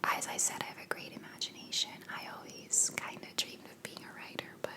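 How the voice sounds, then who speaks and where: A young woman from Belgium with an American accent, whispering answers to viewer questions in a rambling ASMR Q&A at home on a sensitive microphone. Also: fairly quick. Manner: soft unvoiced whisper, rambling with fillers. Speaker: ASMR creator. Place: home living room.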